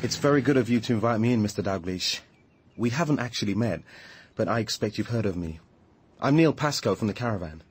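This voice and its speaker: Low, husky British man, earnest and troubled. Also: lively intonation, fairly quick. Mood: earnest, apologetic. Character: earnest, troubled male anti-nuclear activist living in a caravan. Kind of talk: radio drama acting.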